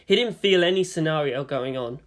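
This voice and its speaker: A man reading a story as narrator in a dramatic, expressive scripted style. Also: on the high side, brisk. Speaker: narrator of fan fiction.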